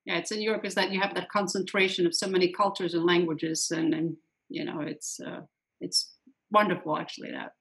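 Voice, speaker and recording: A woman's voice: fairly low pitch, little pitch movement, brisk, gravelly, a bit bright. A woman interviewer whose first language is Latvian, asking questions and offering short supportive responses. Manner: brief encouraging interjections, supportive. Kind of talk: conversational interview.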